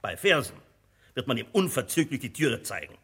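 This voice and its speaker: Radio drama publisher: boastful, condescending, cynical bully with a husky, highly animated delivery. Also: male voice, brisk, heavily acted. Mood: dismissive. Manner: condescending, blustering. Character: imperious, cynical, boastful male publisher and bookseller. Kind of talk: German radio drama acting.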